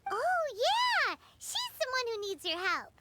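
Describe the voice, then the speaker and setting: A woman voicing a tiny, excitable fairy girl companion in a video game, chattering eagerly. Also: very high voice, slow, background noise, exaggerated voice. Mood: excited. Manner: excitable, refers to herself by name. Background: game music.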